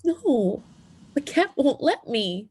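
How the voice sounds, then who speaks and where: A woman playing an anxious, frustrated transgender teenage snowboarder in a screenplay table read, with a husky voice. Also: sits high, very animated, slow pace, darkish tone, full character voice.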